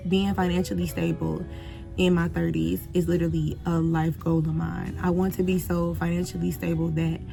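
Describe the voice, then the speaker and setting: Late-20s woman vlogger, gravelly low voice, music bed underneath. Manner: chatty, direct address to viewers. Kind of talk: casual reflective vlog talk.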